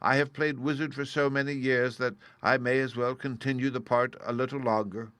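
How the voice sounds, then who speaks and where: A man voicing an old humbug wizard who gently confesses he is no real magician, speaking with a confiding, sheepish manner. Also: medium pitch, level tone, moderate pace, smooth, no echo, natural voice. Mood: confessing. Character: humble old male humbug wizard, apologetic.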